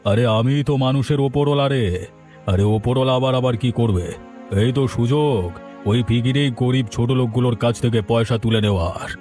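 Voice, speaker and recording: A man's voice: mid-range voice, brisk, a little mellow, faint music behind, close to an everyday voice. A man playing a greedy, haughty village landlord in a melodrama, blustering and bossy. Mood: scornful, irritated. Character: greedy, haughty village landlord, bullying his servant and tenants. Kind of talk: melodramatic Bengali village audio drama.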